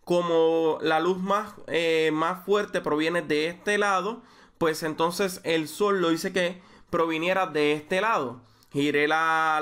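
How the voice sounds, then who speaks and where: A young man with a Puerto Rican accent explaining a photo-editing tutorial, measured and full of small fillers and restarts. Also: high voice, a bit bright. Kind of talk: screen-recorded software tutorial.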